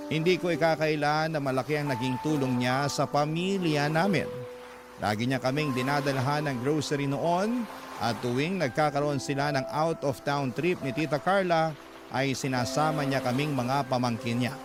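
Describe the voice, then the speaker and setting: A man with a Filipino accent reading a first-person story as radio drama narration, measured and sentimental. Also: medium pitch.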